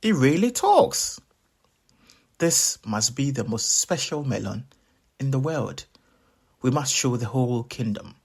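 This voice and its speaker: A proud old storybook king, played by a man, full of wonder and making grand proclamations. His voice is gravelly and husky. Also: mid-range voice, lively intonation, measured pace, long pauses, darkish tone. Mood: amazed.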